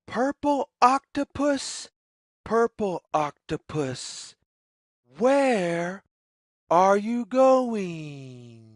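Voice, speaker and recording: A woman reading a picture book aloud for young children, with deliberate, singsong phrasing and questions put to the listener. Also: mid-range voice, big pitch swings, a little mellow, no room sound, clean studio sound. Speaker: children's read-along storyteller.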